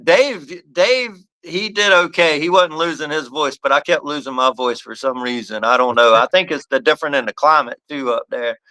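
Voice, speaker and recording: Bright, clear-voiced man hosting a live chat. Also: a little high, moderate pace, few pauses, poor audio. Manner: laughs often, easygoing and upbeat. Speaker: host. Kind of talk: casual live-stream chat.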